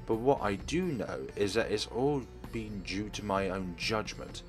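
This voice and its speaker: A man's voice: fairly low pitch, lively intonation, a little slow, soft background music. A man reading aloud a teenage girl's inner narration from a game in his own voice, with small restarts. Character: teenage girl narrator, average and nosy. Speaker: Let's Play reader.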